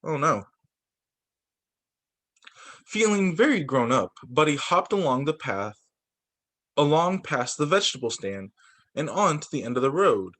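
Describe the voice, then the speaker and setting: A man's voice: on the high side, sing-song, unhurried, long pauses, a bit bright. A man reading a children's story aloud as a teacher, expressively, with exclamations and little asides of his own. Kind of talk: animated picture-book read-aloud with asides.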